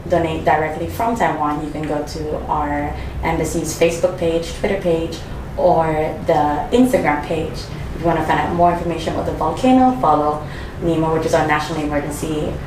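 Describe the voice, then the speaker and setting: A woman's voice: sits low, a little fast. A woman from Saint Vincent and the Grenadines, talking as a guest in a casual group chat. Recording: echoey room, distant mic, clear background noise.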